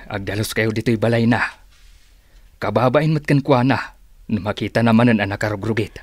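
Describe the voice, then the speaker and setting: Man as a cautious, low-voiced fatherly uncle. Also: darkish tone, no room sound, lightly acted. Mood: instructing. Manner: measured, admonishing. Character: cautious, fatherly older uncle advising younger men. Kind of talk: radio drama acting in Ilocano.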